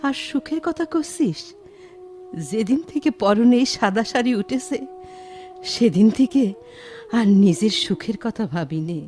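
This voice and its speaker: A woman's voice: fairly high pitch, lively intonation, a little slow, pauses often, mellow. An actress playing a doting, fretful old grandmother with a rural Bangladeshi accent in a radio drama, exclaiming and scolding dramatically. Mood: wistful. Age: older. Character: doting, fretful old village grandmother, a widowed mother-in-law. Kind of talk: Bengali radio drama acting.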